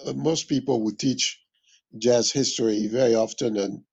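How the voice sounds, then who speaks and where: A man from West Africa explains things in an interview in a deliberate, scholarly way, repeating phrases for emphasis as a musician and teacher would. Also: medium pitch, steady tone, gravelly, a little mellow, husky. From West Africa (Mali). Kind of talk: reflective interview talk, explanatory.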